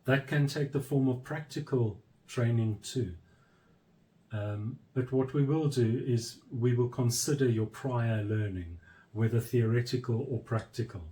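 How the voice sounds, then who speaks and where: A man with a mellow, warm voice speaks in an earnest, unhurried way, breaking his thoughts into short phrases. He sounds steady and firm, like a leader explaining what is expected of newcomers. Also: medium pitch. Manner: measured, pausing between phrases, firm. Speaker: church leader. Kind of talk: earnest informational address.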